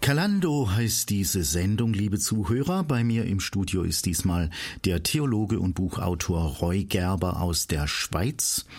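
A man's voice: low voice, animated, a little slow, hardly any pauses, a little mellow, breathy edge, soft background music. A man hosting a radio show, interviewing a guest in a studio.

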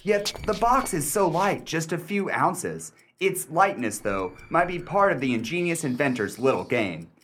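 An actor playing an exasperated, analytical gentleman in a period mystery radio play, speaking with formal, precise diction. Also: fairly high pitch, big pitch swings, unhurried, few pauses, slight background noise. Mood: skeptical, musing.

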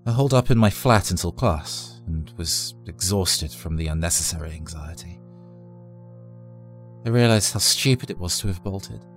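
Low-voiced man narrating horror. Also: expressive, normal speed, faint music behind. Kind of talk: scripted first-person horror narration.

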